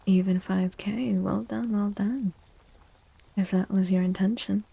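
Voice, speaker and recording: A woman hosting a soft-spoken ASMR livestream, hushed and breathy, often slipping into whispers and gently repeating phrases. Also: medium pitch, steady tone, unhurried, dry recording. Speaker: livestream host, tarot reader. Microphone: close microphone in a dry space.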